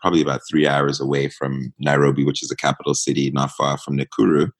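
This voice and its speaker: A man's voice: extremely low pitch, flat delivery, quick pace. A man in his thirties, raised in East Africa by Ghanaian parents, talking as a guest on an interview podcast in a reflective, storytelling way. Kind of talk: conversational interview.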